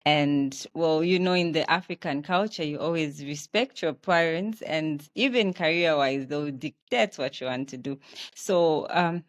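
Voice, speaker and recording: Zambian woman, slightly low-pitched voice. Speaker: interview guest, health professional. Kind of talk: conversational personal storytelling.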